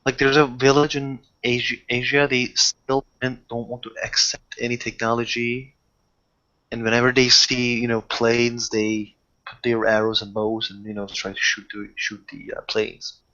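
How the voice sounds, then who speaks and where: A man chatting casually as a player in a roleplaying group, halting and repeating his words. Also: mid-range voice, flat delivery, bright, forceful tone, dull audio.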